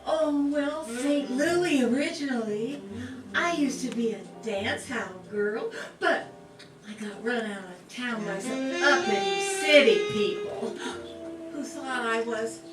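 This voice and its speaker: A woman's voice: a little high, animated, far from the mic. A woman doing a coy old-west dance-hall girl. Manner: coy, drawn-out, vain. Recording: roomy stage from afar, live music.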